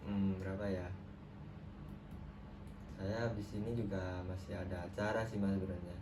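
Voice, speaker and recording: A young man plays a trainee counselor in a role-play session, talking in a casual, friendly way full of fillers. His voice sits a little low. The room is roomy, the mic is distant, and a clear background noise runs underneath. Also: flat delivery, moderate pace. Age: young adult.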